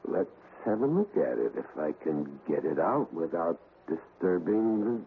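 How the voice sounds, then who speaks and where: A man playing a grave, determined ghost hunter in a deliberate, theatrical 1970s radio drama style. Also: sits low, sing-song, heavily acted. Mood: intrigued. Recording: muffled, noisy old radio recording.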